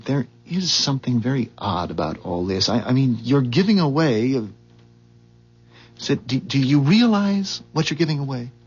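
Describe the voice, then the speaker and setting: An actor playing a puzzled, skeptical ordinary husband in a 1970s radio drama, questioning in a hesitant, stammering way. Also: male voice, mid-range voice, animated, normal speed, breathy edge. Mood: uneasy. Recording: muffled 1970s radio broadcast.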